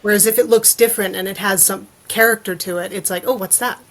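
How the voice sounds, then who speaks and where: A woman with a medium-pitched voice talks as an artist guest on a podcast. She explains things in a casual, conversational way, dropping in imagined reactions as quotes. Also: steady tone, fast.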